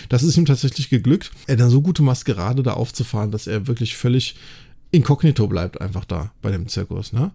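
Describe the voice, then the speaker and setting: A man's voice: mid-range voice, lively intonation, brisk, vocal fry, dark tone. A man co-hosting a podcast in casual discussion, often ending his thoughts with little tag questions to seek agreement. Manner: conversational, frequent tag questions seeking agreement. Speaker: podcast host. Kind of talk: casual discussion and recap of an audio drama.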